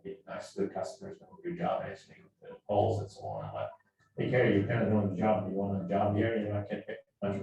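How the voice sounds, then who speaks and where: Low, very echoey man from Lebanon. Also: creaky, dark tone. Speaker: company founder and CEO. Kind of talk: casual storytelling in a promo interview. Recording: very echoey, distant playback, faint music.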